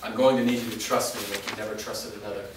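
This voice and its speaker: A man's voice: fairly low pitch, steady tone, fast talker, lightly acted. Grave part-vampire fighter played by a man, very echoey. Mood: grave, resolute. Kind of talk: staged reading of a fantasy drama. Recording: echoey room, distant mic, faint noise.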